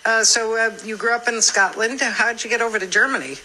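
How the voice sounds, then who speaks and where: A woman's voice: fairly high pitch, fairly even intonation, fairly quick, pushed and bright, a little hiss or hum, poor audio. A woman co-hosting a morning radio show, chatting casually and jokingly as she interviews a guest. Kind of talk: casual live interview chat.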